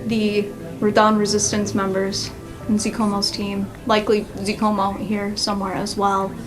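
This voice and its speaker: A woman's voice: mid-range voice, completely flat delivery, moderate pace, over music, low-quality recording. A woman working as game master, narrating an improvised tabletop role-playing game.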